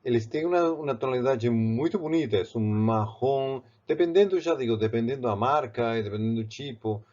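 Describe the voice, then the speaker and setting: A man from Barcelona speaking with a Spanish accent, teaching a painting technique in a halting way, with many restarts. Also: medium pitch, a little mellow, lo-fi sound. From Spain, Barcelona. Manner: halting, with frequent restarts and fillers. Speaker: art teacher. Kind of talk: step-by-step art tutorial.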